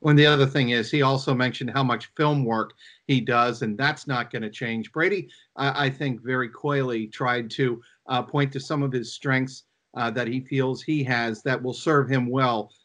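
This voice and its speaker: A man giving unscripted sports analysis as a reporter, in halting phrases with frequent pauses. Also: medium pitch, steady tone, a bit bright.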